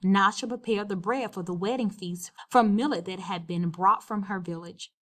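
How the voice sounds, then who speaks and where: A woman narrating a children's storybook as a read-aloud, measured and expressive. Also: medium pitch. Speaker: storybook reader.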